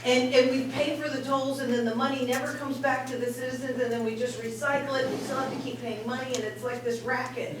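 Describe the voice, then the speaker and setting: A woman's voice: high-pitched, flat delivery, fast talker, few pauses. A woman resident making an exasperated, emphatic public comment at a meeting. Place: public meeting room, indoors. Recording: echoey hall from far off, crowd around.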